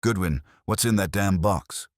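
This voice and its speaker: A man voicing a gruff, impatient police inspector, blunt and demanding, in an audiobook detective story. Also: sits low, measured pace, no echo, clean studio sound, voice pushed up. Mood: gruff, irritated.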